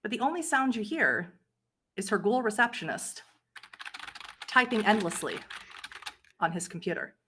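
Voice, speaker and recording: A woman's voice: mid-range voice, lively intonation, moderate pace, long pauses, a bit bright, slight background noise. A woman hosting and narrating a tabletop roleplay game as its storyteller.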